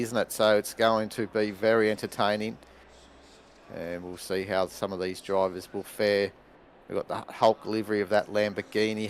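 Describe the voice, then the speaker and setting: Male co-commentator, low pitch, laid-back, audible noise under the voice. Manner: relaxed, conversational, many fillers. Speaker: sim racing co-commentator. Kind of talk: live race commentary.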